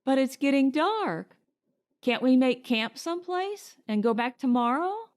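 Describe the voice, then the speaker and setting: Woman reading as a higher-voiced, reasonable wife. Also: lively intonation, measured pace, a little mellow. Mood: worried. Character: reasonable, weary wife and mother.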